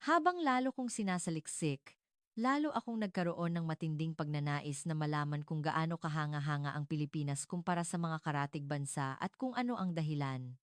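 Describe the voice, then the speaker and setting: A woman reading scripted dramatic narration in a formal, measured storytelling manner. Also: low voice, clean tone, no room sound, studio quality.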